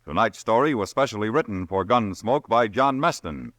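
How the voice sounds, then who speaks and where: A man's voice: lowish voice, lively intonation, moderate pace, a bit bright, dry recording. Formal 1950s radio announcer man.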